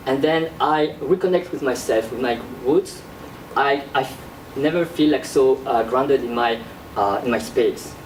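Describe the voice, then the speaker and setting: A young man, an artist born in Korea and raised in France, presenting his work in a classroom in a halting, casual way with many fillers. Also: mid-range voice, recorded from a distance, slight background noise. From born in South Korea, raised in France. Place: classroom with projector screen. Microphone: far camera at back of room. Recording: roomy echo from across the room.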